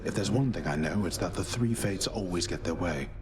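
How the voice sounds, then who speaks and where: Actor as very deep-voiced grave old mentor. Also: male voice, close to an everyday voice. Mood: thoughtful. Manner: measured, formal, avuncular. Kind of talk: video game dialogue. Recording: music behind the voice.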